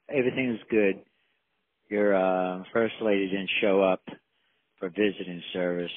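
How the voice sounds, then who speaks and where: A man leaving an unhurried, conversational work voicemail over the telephone. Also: deep voice, no echo. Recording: dry, narrow telephone voicemail line.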